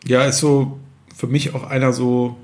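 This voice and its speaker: Male podcast host, halting conversational delivery. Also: medium pitch, flat delivery, darkish tone. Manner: halting, searching for words. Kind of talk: conversational film discussion.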